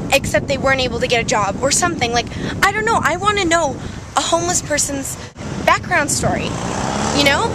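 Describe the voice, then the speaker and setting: A young woman chatting casually and loudly in a vlog, excitable and joking. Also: high-pitched, heavy background noise. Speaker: vlogger.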